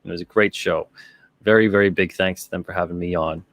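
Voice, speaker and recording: A man's voice: low voice, steady tone, a little fast, a bit bright, dry recording. A man hosting a live-stream show, chatting casually in a rambling, repetitive way and reading out comments. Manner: rambling, conversational, repeats himself.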